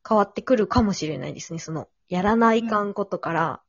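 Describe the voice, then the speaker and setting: A woman's voice: mid-range voice, brisk, dry recording. A woman hosting a casual conversation, offering advice in polite, tentative, reflective phrasing. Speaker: podcast host. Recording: slightly dull lo-fi audio.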